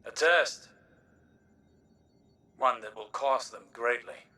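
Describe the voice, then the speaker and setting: A man's voice: mid-range voice, slow, sharp tone, recorded from a distance. A man voicing a grave, intense older scholar in a video game, formal and emphatic.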